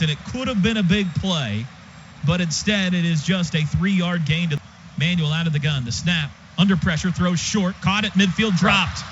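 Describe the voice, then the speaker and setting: Casting: American male football play-by-play announcer, high voice, clipped and excitable, over a dull, noisy stadium radio feed. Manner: clipped present-tense phrases, excitable on big plays.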